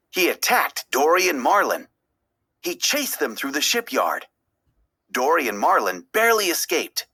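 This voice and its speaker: Bright, animated woman reading a children's story. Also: low voice, husky. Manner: expressive, dramatic storytelling. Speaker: storybook reader. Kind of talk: scripted read-aloud narration of a children's story.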